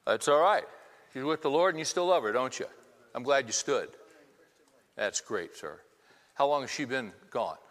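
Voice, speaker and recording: A man's voice: medium pitch, expressive, normal speed, long pauses, fairly bright tone. A man in his fifties preaching a sermon, talking conversationally and putting many questions to the congregation. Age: 50s. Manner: conversational, asks the crowd many rhetorical questions. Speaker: pastor. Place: church hall with congregation. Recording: long reverb of a large hall.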